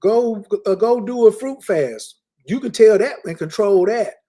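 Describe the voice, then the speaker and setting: High mellow guy preaching hard on a podcast, leans on words slow. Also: expressive. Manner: emphatic, lecturing, repeats key words slowly. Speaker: spiritual teacher. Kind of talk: casual podcast talk, preaching.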